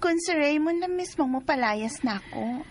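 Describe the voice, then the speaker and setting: A woman's voice: sits high, lively intonation, normal speed, slight background noise. A woman acting a brazen, taunting mistress in a Filipino radio drama, mocking and provocative. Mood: menacing mockery.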